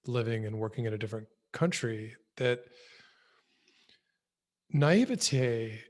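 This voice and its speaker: A man hosting a podcast, asking long, rambling, thoughtful questions and reflecting on his guest's answers. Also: medium pitch. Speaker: podcast host. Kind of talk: interview questions.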